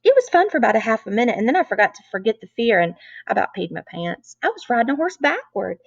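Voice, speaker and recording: A woman reading a children's novel aloud in an expressive, animated storytelling style. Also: fairly high pitch, fast, gravelly, no echo. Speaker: read-aloud reader. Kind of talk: expressive children's book narration. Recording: dull, lo-fi close recording.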